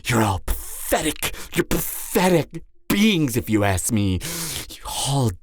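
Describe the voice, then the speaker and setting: A woman's voice: extremely low pitch, sing-song, takes it slowly, heavily acted. A woman playing a gleeful, mocking cannibal serial killer in a theatrical audio roleplay, taunting the listener. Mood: scornful, gloating. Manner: theatrical, taunting, frequent cackles and breathy whispers.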